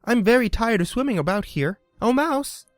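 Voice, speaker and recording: Reader putting on an eager little girl, polite, flustered, stammery, pitch all over the place. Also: lively intonation, moderate pace, dry recording, clean studio sound, soft background music, lightly acted. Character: polite, chatty young girl.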